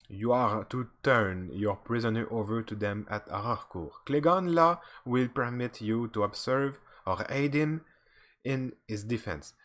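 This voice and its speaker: A man voicing a grave male fleet admiral in a game, speaking low and subdued. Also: a bit bright.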